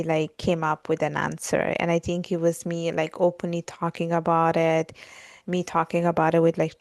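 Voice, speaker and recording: Creaky low-voiced woman, chatty guest. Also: darkish tone, dry recording. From Italy. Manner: chatty, many fillers like "like" and "you know". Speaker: podcast guest, author. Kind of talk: casual conversational interview.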